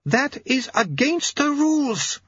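A man's voice: very high-pitched, very animated, moderate pace, sharp tone, no room sound, dull audio, pitched above the performer's own voice, exaggerated voice. A man voicing a tense, haughty aristocratic lady with precise, formal, controlled speech, in an audiobook. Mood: hissing anger.

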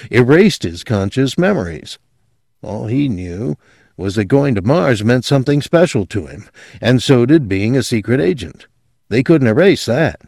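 Audiobook part, played by a man: clinical male technician, precise and analytical, lightly raised pitch, dry. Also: a little mellow, no room sound. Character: clinical, matter-of-fact male memory technician.

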